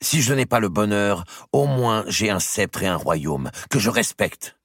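A man's voice: on the low side, expressive, slow pace, a bit bright, no room sound, studio quality, voice pushed up, full character voice. A man voicing a haughty queen in an audiobook, with a hard, cold tone and restrained anger. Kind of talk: dramatic audiobook character voice.

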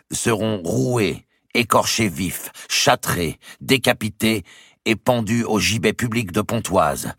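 A man voicing a stern royal official in an audiobook, solemnly and deliberately declaiming a sentence. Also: low-pitched, a bit bright, no echo, studio quality, voice pushed up. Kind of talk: dramatic audiobook proclamation.

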